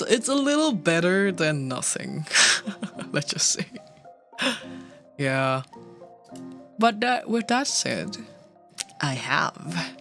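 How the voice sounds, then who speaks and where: A streamer and cover singer chatting casually, talking haltingly with many restarts and fillers. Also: mid-range voice. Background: faint background music.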